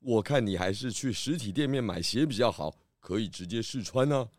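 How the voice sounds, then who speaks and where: A man's voice: on the low side, animated, a little fast, a little mellow, dry recording, clean studio sound, natural voice. Man playing a blustering, vain detective chief in a radio drama. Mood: fussy, lecturing. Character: vain, evasive detective chief, trench-coat lover, bossy but good-humored. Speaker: lead character of children's detective audio drama. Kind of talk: children's radio drama acting.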